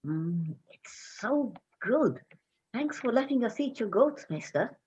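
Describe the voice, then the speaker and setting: A woman's voice: fairly high pitch, sing-song, slow pace, a little mellow, breathy edge, exaggerated voice. A woman playing a blunt, flippant farm boy in a dramatic play reading on a dull microphone. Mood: eager.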